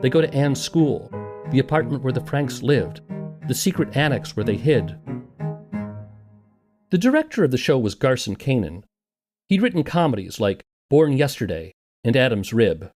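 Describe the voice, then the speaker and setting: Man, podcast host, very lively intonation, wry conversational narration, medium pitch, faint music behind. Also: moderate pace, long pauses. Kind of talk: scripted documentary narration.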